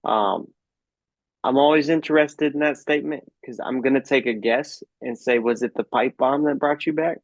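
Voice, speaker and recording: A man's voice: medium pitch, moderate pace, no echo. A man hosting a podcast interview, asking curious, leading questions in a casual chat. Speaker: podcast host.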